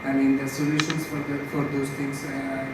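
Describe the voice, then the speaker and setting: A man's voice: medium pitch, completely flat delivery, moderate pace. A man with a Bangladeshi accent politely asking a spontaneous audience question at a conference. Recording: very echoey, distant hall mic, noisy.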